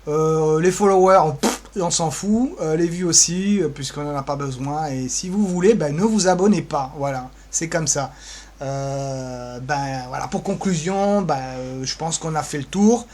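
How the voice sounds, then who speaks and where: A man's voice: on the high side, unhurried, a little mellow. A man co-presenting an improvised screen-share tour, talking offhand and flippantly as he thinks aloud. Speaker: co-presenter giving a guided tour of social media pages.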